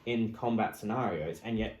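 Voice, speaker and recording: A man with a slightly low voice talks in an echoey room. He is a podcast co-host in casual discussion, laying out his opinions in everyday conversational speech. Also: moderate pace, darkish tone. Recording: echoey, roomy sound.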